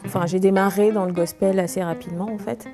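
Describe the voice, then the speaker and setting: A young woman from Togo, a singer, answering interview questions calmly and thoughtfully, with many hesitations. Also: mid-range voice, fast talker, over music.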